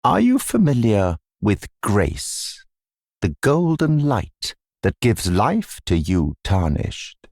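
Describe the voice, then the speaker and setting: Smug fantasy game mentor, low-pitched, creaky, mock-sympathetic, theatrical, sinister, condescending. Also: male voice, wildly swooping pitch, darkish tone, dry recording, clean studio sound, heavily acted. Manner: mock-sympathetic, theatrical, drawn-out deliberate phrasing.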